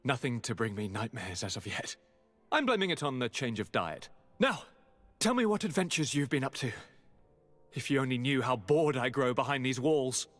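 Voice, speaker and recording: A man's voice: medium pitch, very animated, moderate pace, fairly bright tone. A young aristocratic governor in a video game cutscene. He is courtly and exclamatory, fond and easily bored, and his mid-range voice swings animatedly. Faint music plays underneath. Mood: fond, eager. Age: young adult.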